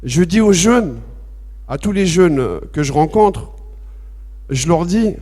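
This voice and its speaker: A man speaking slowly in a somewhat high voice, with a long reverb trailing off the walls of a large hall. He gives a personal testimony at a public debate, halting and repetitive at first. Then he grows impassioned and emphatic. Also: animated, dark tone. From France.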